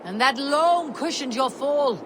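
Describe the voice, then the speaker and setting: A woman's voice: high voice, expressive, a little slow, noisy background. A woman playing a proud, fearless Viking warrior in a video game, with blunt, wry and stately phrasing. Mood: alarmed, scolding. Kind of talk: dramatic video game acting.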